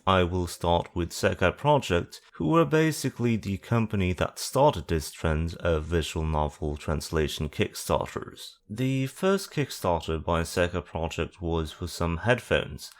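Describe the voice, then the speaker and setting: A man hosting a video essay, talking through the topic with dry, sarcastic asides. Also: sits low.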